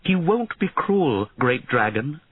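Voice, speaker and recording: Male narrator voicing a worried queen mother, raised pleading tone, husky. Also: sing-song, slow, no room sound, full character voice. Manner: high, urgent, pleading. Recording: tinny lo-fi audio.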